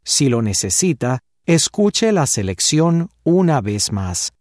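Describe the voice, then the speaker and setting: A man reading the instructions for a listening exercise in a clear, deliberate way. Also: medium pitch, very animated, a little mellow, no room sound, studio quality. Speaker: course narrator. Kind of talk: scripted instructional narration.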